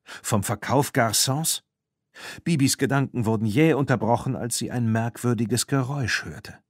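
A man's voice: medium pitch, moderate pace, dry recording, clean studio sound. A man reading a scripted children's story as an audiobook narrator.

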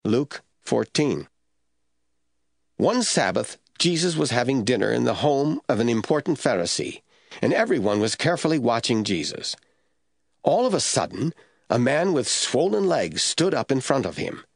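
A man narrating scripture from a script as the storyteller of an audio drama, with a husky voice. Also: mid-range voice, big pitch swings, moderate pace, dry recording, clean studio sound.

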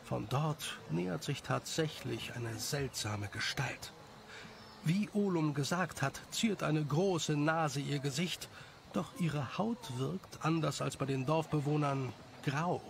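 A man's voice: fairly high pitch, slow, creaky, a little hiss or hum. A man narrating a scripted story for an audio drama.

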